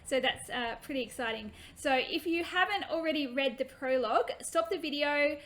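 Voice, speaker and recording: A woman reading her own children's book aloud in an expressive, animated way. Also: sits high, moderate pace, a little hiss or hum.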